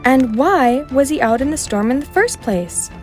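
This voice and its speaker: A woman's voice: high-pitched, animated, moderate pace, faint music behind, voice pushed up. A woman voicing a curious, doubting young woman who questions eagerly, in a children's storybook reading. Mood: eager, puzzled.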